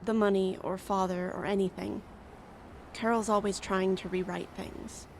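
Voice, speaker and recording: Creaky, sullen young daughter played by a woman. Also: mid-range voice, fairly even intonation, moderate pace, darkish tone, natural voice. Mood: resigned, sullen. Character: sullen, defeated youngest daughter of troubled family. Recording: faint outdoor roadside noise.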